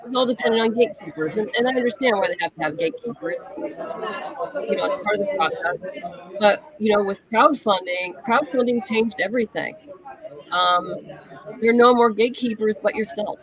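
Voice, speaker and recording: A woman's voice: mid-range voice, brisk, a crowd in the background, poor audio. A woman speaking as a guest in a casual interview, candid and explanatory. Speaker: interview guest, comic writer and novelist.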